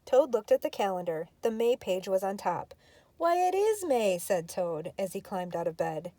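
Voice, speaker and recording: A woman's voice: on the low side, moderate pace, dark tone, no room sound. An older woman, a grandmother, reading a children's storybook aloud.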